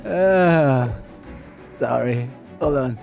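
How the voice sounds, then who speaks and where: A man commentating his own gameplay loudly, reacting and laughing at himself, with game sounds behind. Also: mid-range voice, drawn-out words, vocal fry, faint music behind. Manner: loud, excitable, laughing, self-mocking. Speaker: gamer streamer.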